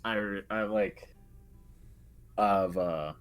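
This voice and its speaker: A man co-hosting a video game discussion, speaking casually and haltingly as he thinks aloud. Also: on the low side, steady tone, smooth, faint music behind.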